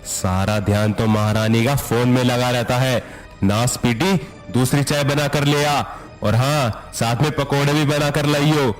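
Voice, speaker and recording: A man's voice: mid-range voice, steady tone, unhurried, faint music behind, lightly acted. A man playing a harsh, fault-finding Indian husband in a dramatised story, sarcastic and threatening. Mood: scornful. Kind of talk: dramatised Hindi moral story acting.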